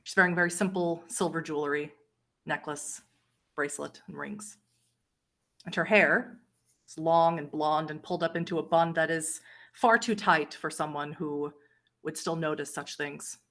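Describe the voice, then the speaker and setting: A woman narrating a tabletop roleplay game as game master, with measured, descriptive storytelling. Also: low voice, fairly bright tone.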